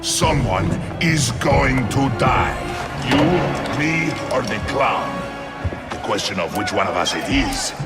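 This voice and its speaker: An actor playing a grim, imposing mercenary villain in a video game, speaking with formal, deliberate menace. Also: male voice, medium pitch, expressive, over loud music. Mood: cold menace. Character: grim, imposing male mercenary supervillain, coldly commanding.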